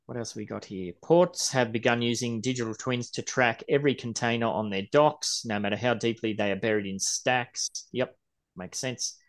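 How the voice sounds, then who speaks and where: A man's voice: mid-range voice, steady tone, moderate pace. Man in his forties co-hosting a podcast. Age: 40s. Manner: reads quotes aloud then riffs on them. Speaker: podcast co-host. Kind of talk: casual chat reading news articles.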